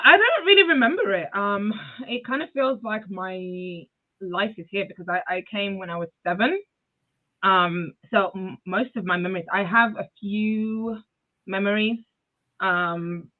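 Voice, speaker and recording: A woman's voice: mid-range voice, normal speed. A young woman from Zimbabwe, a guest on a video-call interview, who talks hesitantly with many fillers and laughs often. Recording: tinny phone-like audio.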